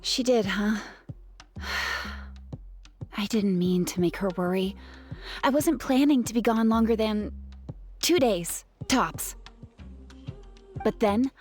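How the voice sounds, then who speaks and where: A woman playing an earnest, grateful gem artisan in a video game, telling things in a soft-hearted way. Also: a little high, close to an everyday voice. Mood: earnest, explaining.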